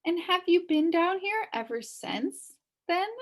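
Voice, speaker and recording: A woman's voice: extremely high pitch, expressive, a little slow, voice pushed up. A woman voicing an earnest, sympathetic young boy in a storybook. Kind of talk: storybook character reading.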